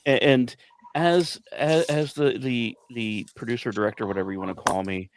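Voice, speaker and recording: A man's voice: mid-range voice, steady tone, normal speed, slight background noise. A man who directs radio plays, chatting casually in a group and often stammering and repeating words. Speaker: producer and director of a radio play.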